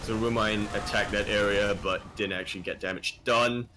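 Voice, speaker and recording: A man's voice: on the low side, fairly even intonation, normal speed, noisy background. A man casting a live video game match, chatty and playful, often trailing off and picking his thoughts back up. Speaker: esports caster.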